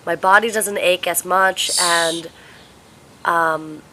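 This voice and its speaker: A young woman vlogging casually at home, stuffed up and congested, talking in a slow, halting, tired way. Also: on the low side, steady tone, pushed and bright, slight background noise. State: congested, sniffly with a cold. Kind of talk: casual vlog chat. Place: home, indoors.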